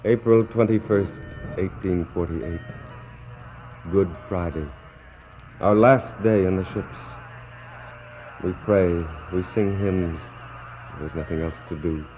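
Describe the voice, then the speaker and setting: A man acting a despairing British naval captain in a 1960s radio play, declaiming in anguished, bitter soliloquy that swings between hushed prayer and outcry. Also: sits low, steady tone, slow, long pauses. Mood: solemn, prayerful. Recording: dull, noisy 1960s radio recording.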